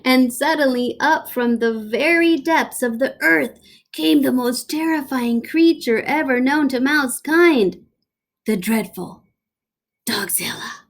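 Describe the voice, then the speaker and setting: Slow, higher-voiced woman reading a storybook aloud. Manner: dramatic, deliberate, with playful asides. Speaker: teacher reading aloud to students. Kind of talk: expressive children's picture book read-aloud.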